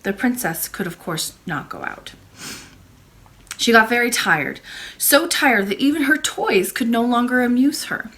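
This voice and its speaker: A woman's voice: a little high, husky. Woman reading a bedtime storybook. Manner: expressive, measured storytelling with brief informal asides. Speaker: librarian reading aloud, host.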